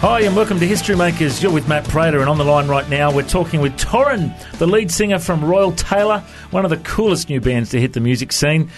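Higher-voiced Australian radio host, man. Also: animated, a little fast, few pauses, soft background music. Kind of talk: casual upbeat interview.

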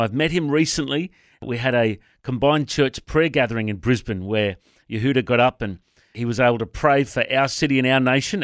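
A man hosts a radio interview in a dry, close studio sound. His voice sits at a middling pitch, and he prompts his guest with short, plain questions. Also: no echo. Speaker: radio show host.

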